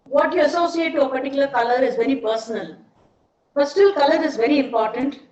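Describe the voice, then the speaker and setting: A woman's voice: sits high, fast talker, darkish tone. A middle-aged woman with an Indian accent explaining things in an informal online lecture, often posing questions to her listeners. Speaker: seminar speaker, ad film maker. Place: indoors, online seminar. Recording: dull, echoey online call with hiss.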